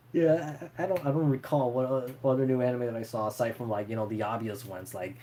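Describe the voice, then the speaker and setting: A man's voice: mid-range voice, moderate pace, warm tone, slight background noise. A man chatting casually and unscripted as a streamer answering viewer questions, in a rambling, hesitant way.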